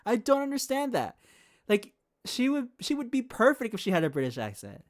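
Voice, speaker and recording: Animated woman streaming a game. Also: a little high, moderate pace. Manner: excitable, reacts to the game. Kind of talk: casual live stream commentary.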